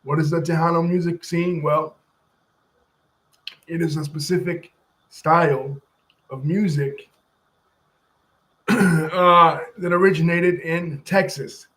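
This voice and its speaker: Man, commentary host, slightly high-pitched, casual, explanatory, step by step, chats with people off camera. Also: fairly even intonation, slow, pauses often, a bit bright, low-quality recording. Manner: conversational, explains history step by step.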